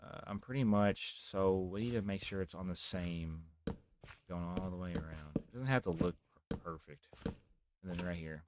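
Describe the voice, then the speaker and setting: A young man with a deep voice, rambling softly through casual live gameplay commentary as a streamer. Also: moderate pace, noisy background. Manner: rambling, talks softly, near whispering.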